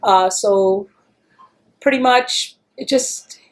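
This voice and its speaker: A woman's voice: medium pitch, very slow. Chatty Texan woman recalling her childhood. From El Paso, Texas, US. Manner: chatty, rambling, with restarts and laughs. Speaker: interviewee. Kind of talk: oral history interview.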